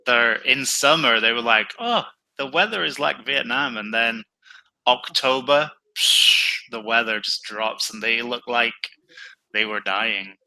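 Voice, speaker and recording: Slow, bright-voiced man chatting. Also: mid-range voice, rough recording. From England. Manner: relaxed, chatty, tells stories slowly. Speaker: online English tutor. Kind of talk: casual conversation practice.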